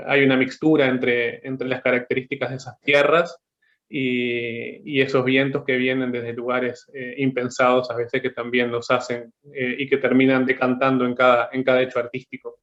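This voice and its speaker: A man, an artist speaking on a panel over a video call, thoughtful and halting as he searches for words. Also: medium pitch, little pitch movement, fairly bright tone, lo-fi sound. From Uruguay. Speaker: artist guest. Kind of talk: panel discussion.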